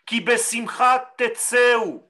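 A middle-aged man born in Israel, a rabbi with an Israeli accent and Hebrew as his first language, teaching a class over a video call. He reads lines slowly and then explains them with emphasis. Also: extremely high pitch, pushed and bright.

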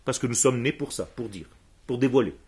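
A man's voice: medium pitch, a little mellow, slightly rough. A man who grew up speaking Hebrew, teaching a class emphatically and firing questions at his students. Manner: emphatic, asks the room questions, answers students quickly. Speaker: religious teacher.

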